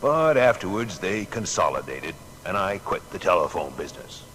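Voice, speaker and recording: A man's voice: low-pitched, very animated, moderate pace, fairly bright tone. An actor playing a folksy, boastful American male inventor in a dramatised historical reminiscence, speaking plainly and colloquially. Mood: wry reminiscing. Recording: long hall reverb, background noise.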